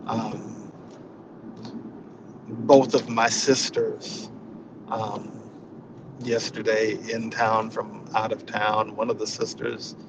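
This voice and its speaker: A man giving an informal inspirational talk in a reflective, halting way, often repeating his phrases. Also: fairly low pitch, little pitch movement, background noise. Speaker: spiritual session leader.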